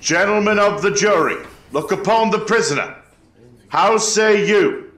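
A colonial court clerk, played by a man, proclaims in a high voice with ceremonial formality. His ritual, declamatory delivery rings in an echoey room, as in a historical trial reenactment. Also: unhurried, fairly bright tone. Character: formal eighteenth-century court clerk taking jury verdicts.

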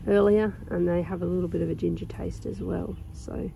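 Croaky woman chatting in a garden. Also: fairly low pitch, steady tone, brisk, a little hiss or hum, poor audio. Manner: rambling, chatty, with many ums and soft laughs. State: croaky with a sore throat. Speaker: gardening vlogger. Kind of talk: casual chat. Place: outdoors in a sunny garden.

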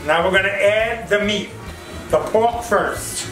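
A man's voice: sits high, takes it slowly. A middle-aged man hosting a casual cooking demonstration in short, exclamatory remarks, recorded in a home kitchen. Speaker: cooking show host. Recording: roomy echoing kitchen, music behind.

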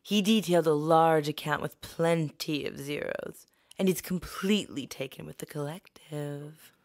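Actress as smooth-talking female cult follower in podcast comedy, deep for a woman, eager. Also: a little slow, dry recording, studio quality. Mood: pleased. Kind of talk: scripted comedy audio drama acting.

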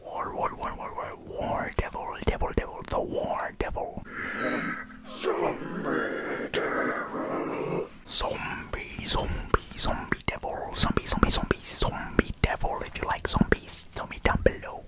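Whispering young male ASMR host. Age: 20s. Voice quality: breathy, unvoiced whisper. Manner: slow, deliberate repetition of words. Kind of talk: whispered ASMR, listing and repeating words. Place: indoor room in front of green screen. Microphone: large foam-covered microphone, very close.

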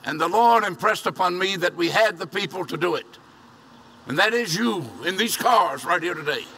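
A man's voice: high-pitched, lively intonation, moderate pace, bright, forceful tone, a little hiss or hum, poor audio. An older man preaching outdoors from a podium to a drive-in congregation, earnest and repeating key phrases for emphasis. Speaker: pastor. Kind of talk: sermon. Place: outdoor church parking lot. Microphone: podium mic from a distance.

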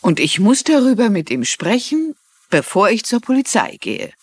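A woman's voice: mid-range voice, very animated, normal speed, slightly rough, no echo. A woman playing a polite but persistent older amateur sleuth in a dubbed TV crime drama. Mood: politely insistent. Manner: courteous, insistent.

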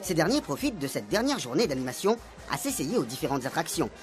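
Lively woman narrating a radio serial. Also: low voice, quick pace, music in the background.

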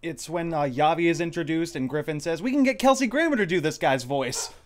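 Slightly high-voiced man, casually hosting. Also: animated, brisk, a little hiss or hum. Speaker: host and project lead of fan dub. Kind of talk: casual group Q&A chat.

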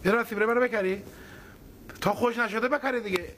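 Very high-pitched, insistent male puppet. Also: fairly even intonation, fairly bright tone, slight background noise. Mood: dictating, earnest. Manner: insistent, pleading, dictating phrase by phrase. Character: mustached male puppet, earnest, lovesick and bossy. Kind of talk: TV puppet comedy dialogue.

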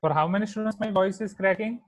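A male maths teacher with an Indian accent runs an online class and spells out each term slowly and deliberately. His voice sits fairly high. The sound is dry and close, with no room echo. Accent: Indian English. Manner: slow, deliberate dictation of terms while writing on a whiteboard.

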